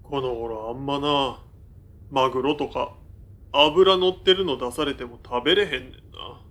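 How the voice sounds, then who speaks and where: A man's voice: mid-range voice, unhurried, fairly bright tone. A man playing an easygoing, blunt fifty-year-old bar musician with a Kansai accent in casual audio drama dialogue. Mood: offhand, easygoing.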